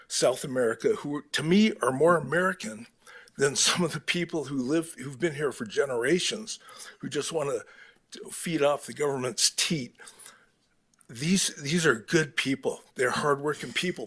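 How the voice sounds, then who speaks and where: A man giving halting, candid public comment at a city council meeting, with restarts and the odd throat clear. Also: mid-range voice, fairly bright tone.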